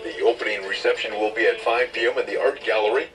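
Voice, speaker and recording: A male radio announcer reads a scripted notice. His voice comes out of a small radio speaker at a distance from the mic. Music plays clearly behind him. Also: lowish voice, steady tone, fast.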